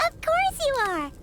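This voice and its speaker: A woman's voice: extremely high pitch, exaggerated voice. A woman voicing a tiny, cheerful, childlike fairy companion in a video game, speaking in a bubbly manner. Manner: bubbly, refers to self in third person. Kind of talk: video game character acting. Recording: music playing behind the voice.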